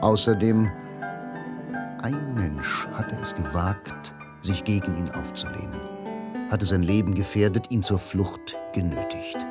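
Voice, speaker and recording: A man's voice: sits low, a little slow. A man narrating a crime story in a radio drama, with sober, scripted literary delivery. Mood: measured. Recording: music behind the voice.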